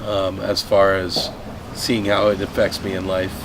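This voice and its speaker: A man's voice: low-pitched, monotone, noisy background. A man hesitantly introducing himself in a casual group chat. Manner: hesitant, slow.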